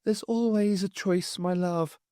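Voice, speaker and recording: A man voicing the ghost of a dead woman with a sing-song Scottish Highland accent, speaking through a child and accusing her husband. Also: fairly even intonation, measured pace, mellow, smooth, dry recording, studio quality. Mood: sorrowful accusing. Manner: accusing, sorrowful. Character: grieving pious Highland wife's ghost, accusing.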